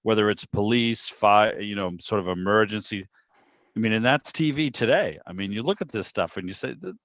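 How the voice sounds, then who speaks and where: A man's voice: lowish voice, fairly quick, a bit bright. A man hosting an online club meeting, chatting casually in a rambling, enthusiastic way. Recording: dull, roomy video-call audio.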